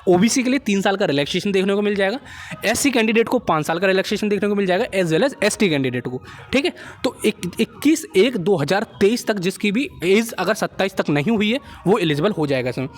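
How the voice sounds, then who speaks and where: Young male explainer host, Indian accent, rapid informal delivery, chummy and repetitive, light music underneath. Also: high voice, lively intonation. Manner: chummy, addresses viewers as friends, repeats check phrases. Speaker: job-update video host. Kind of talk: informal explainer of a recruitment notice.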